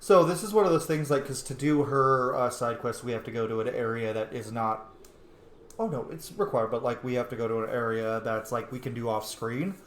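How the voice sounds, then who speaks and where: A man's voice: mid-range voice, a little fast, echoey room. A man hosting a gaming channel, giving casual, chatty and opinionated let's-play commentary. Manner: opinionated, chatty fan enthusiasm.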